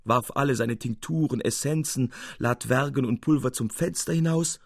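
Male narrator, standard German, lively storytelling of classic fiction, close and dry recording. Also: mid-range voice, normal speed, dry recording. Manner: expressive, acting out dialogue. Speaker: audiobook narrator. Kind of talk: dramatic literary narration. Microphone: close studio microphone.

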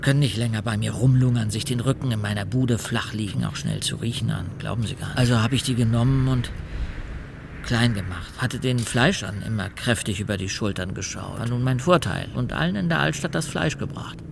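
An actor playing an agitated, self-pitying condemned killer who confesses in colloquial rambles to a guard in a radio drama, with a gravelly voice. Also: male voice, mid-range voice, expressive, fairly quick, noisy background. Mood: agitated, boastful.

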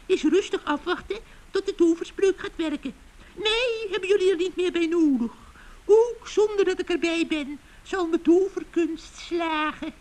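A cackling, scheming witch from a vintage children's radio play. Her voice is shrill and very high, and she talks slowly and with relish, taunting everyone with cooing nicknames. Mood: mocking. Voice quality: cackling, gloating. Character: gloating scheming witch, female, adult.

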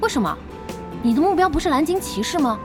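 A woman playing a curious, sharp-witted boss among gamers in a Mandarin audio drama. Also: sits high, sing-song, fast. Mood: curious, catching on. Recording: music bed under the dialogue.

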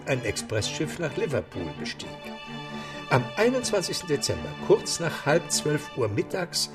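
Casting: male narrator, mid-pitched, very expressive scripted storytelling, prominent music behind. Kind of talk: dramatic scripted narration in a German radio play.

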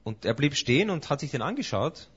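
A man's voice: fairly high pitch, big pitch swings, a little fast, a bit bright, slightly rough. A man interpreting a sermon live, phrase by phrase, with small hesitations. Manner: follows the preacher phrase by phrase, with hesitations. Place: church service. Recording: dull muffled church recording.

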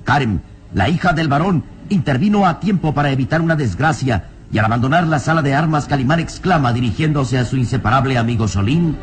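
A man's voice: medium pitch, expressive, brisk. A man narrating an old radio serial from a script in a solemn, declamatory, dramatic way. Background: faint music.

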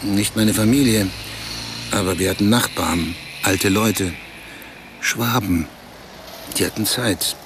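An actor playing a laconic, teasing Romanian street porter in a radio drama, mixing in Italian words, over faint street noise. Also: male voice, on the low side, slow, long pauses. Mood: calm teasing. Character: Romanian man, street porter, calm, sly.

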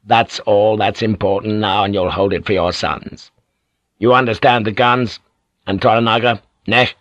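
A male narrator plays an aging samurai warlord from an audiobook, low in pitch and recorded close and dry. He is proud and scheming, issuing orders with imperious, emphatic force. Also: steady tone, moderate pace, a bit bright, no echo, dull audio, close to an everyday voice. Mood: proud, urgent. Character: fierce, scheming older samurai lord, proud and commanding. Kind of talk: audiobook character acting.

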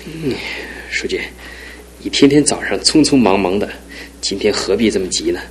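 A man's voice: lowish voice, animated, moderate pace, slight background noise, close to an everyday voice. An actor in his twenties playing a sleepy, playful young husband in a radio drama, with a lazy drawl. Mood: drowsy. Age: 20s.

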